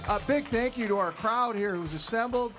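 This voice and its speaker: A man's voice: very high voice, moderate pace, music in the background. A middle-aged American man hosting live television outdoors at a fair on a handheld microphone. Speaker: TV host. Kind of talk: live TV presenting. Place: outdoors at a state fair stage. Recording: outdoor event ambience.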